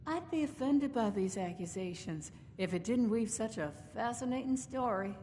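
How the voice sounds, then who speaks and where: A woman plays a shrewd female caravan boss from a video game, proud and sardonic. She talks in genteel, folksy turns of phrase. The sound has long reverb over a faint noisy rumble. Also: animated, normal speed, mellow, close to an everyday voice. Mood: sardonic, indignant. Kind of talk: video game character dialogue.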